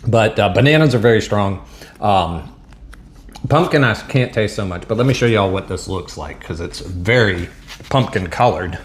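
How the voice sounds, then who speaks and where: Laid-back Southern man, lowish voice. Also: expressive, moderate pace, a little hiss or hum. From Southern United States. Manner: rambling, chatty, self-deprecating, frequent um and uh. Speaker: MRE taste-test vlogger. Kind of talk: casual food review to camera. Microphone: mic set up at a table.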